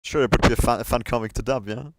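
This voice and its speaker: A man's voice: medium pitch, steady tone, rapid-fire, dry recording. A man hosting a casual livestream chat, thinking aloud and hesitating as he goes. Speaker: livestream host.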